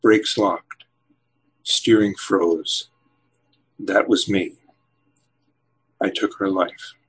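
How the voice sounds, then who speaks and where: Slow, husky-voiced man in his seventies. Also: deep voice, fairly even intonation, poor audio. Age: 70s. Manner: slow measured reading, hesitant with fillers when chatting. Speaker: poet and novelist guest. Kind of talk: poetry reading and interview answers.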